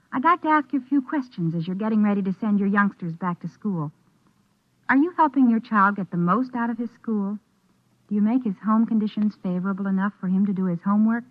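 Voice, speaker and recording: A woman's voice: medium pitch, dry recording. A woman reading a scripted 1950s public service announcement in an earnest, instructive, carefully enunciated way. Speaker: singer and schoolteacher giving a radio announcement. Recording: tinny narrowband vintage radio, dry studio.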